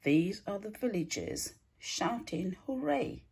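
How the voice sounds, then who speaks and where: A woman reading a rhyming children's picture book aloud in a theatrical, deliberate way, stretching words and hushing the ends of lines. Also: mid-range voice, huge pitch swings. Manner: theatrical, deliberate storytelling with drawn-out words and breathy hushed line endings. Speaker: storybook reader, teacher.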